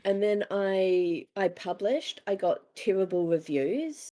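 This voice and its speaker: A woman's voice: medium pitch, lively intonation, slow, mellow. A woman with a New Zealand accent talking casually as an interviewed author, candid and self-deprecating, acting out bits of dialogue as she tells stories.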